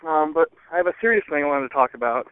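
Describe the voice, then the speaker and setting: Higher-voiced man rambling over the phone. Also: expressive, fast talker, clear, resonant tone, no room sound. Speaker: voicemail caller. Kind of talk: casual rambling message. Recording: thin, dry phone line.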